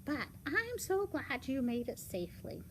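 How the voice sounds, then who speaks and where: A woman's voice: high-pitched, big pitch swings, a little slow, a bit bright, slight background noise, voice pushed up, exaggerated voice. A woman voicing a caring, bewildered old grandmother in a children's storybook tale. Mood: puzzled, relieved.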